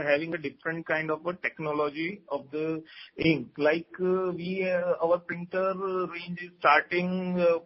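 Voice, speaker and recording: A man with an Indian accent answering questions as a company executive on an earnings call, in a halting, hesitant way. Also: sits high. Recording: dull lo-fi conference call audio.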